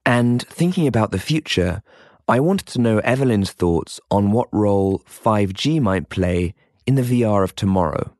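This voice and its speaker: A man's voice: on the low side, expressive, moderate pace, a little mellow, no room sound, clean studio sound. A man hosting and narrating a documentary podcast in a scripted storytelling style. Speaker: host and narrator.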